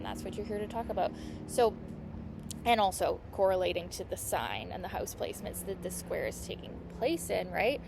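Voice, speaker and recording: A woman astrologer and healing coach with vocal fry, giving a casual, earnest and reassuring astrology lesson, with small clicking noises from her mouth. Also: medium pitch, background noise. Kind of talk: casual astrology teaching monologue.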